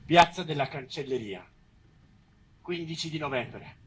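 A man's voice: fairly high pitch, animated, unhurried, fairly bright tone, a little hiss or hum, poor audio. A man acting a proud, agitated eyewitness in a theatrical historical drama set in old Rome. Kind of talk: theatrical storytelling. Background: live instrumental music.

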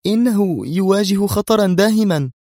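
A man's voice: high-pitched, moderate pace, warm tone, no echo, clean studio sound, pitched above the performer's own voice. A man voicing an anxious, easily vexed young woman agent in an audio drama, speaking tensely and insistently.

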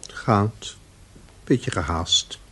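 An actor in a Dutch radio play, playing a middle-aged father and ambitious office gentleman, anxious and condescending. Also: fairly low pitch, very slow, husky, heavily acted. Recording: noisy old radio play recording.

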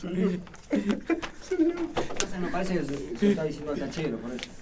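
A man's voice: on the high side, normal speed. A man with an Argentine accent casually giving instructions as the director of a recording. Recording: distant from mic, faint noise.

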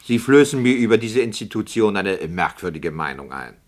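An actor playing a questioning, indignant director in a radio play, speaking in a formal and sceptical manner. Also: male voice, fairly low pitch, very animated, normal speed. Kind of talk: 1960 German radio drama dialogue.

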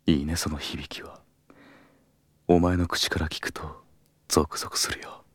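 Deep-voiced, seductive senior colleague. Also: male voice, little pitch movement, moderate pace, slightly rough, in a whisper. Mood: teasing, seductive. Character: calm, seductive, confident senior male colleague and lover. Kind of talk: Japanese audio drama acting.

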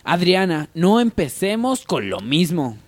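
A man's voice: high voice, sing-song, measured pace, voice pushed up, heavily acted. A man playing a domineering company boss in a parody radio soap, imperious and melodramatic. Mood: curt. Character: stern, domineering male coffee company boss.

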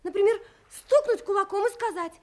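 An actress playing a naive, lively, emotional young woman in an audio drama, expressive and girlish. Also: very high voice, brisk. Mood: earnest.

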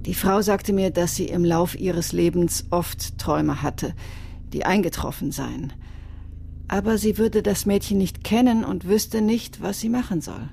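An actress playing a solemn, soulful female psychic medium in a radio crime drama, speaking in a measured, hesitant, grave way. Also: lowish voice, gravelly, mellow, slight background noise. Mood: solemn, mysterious.

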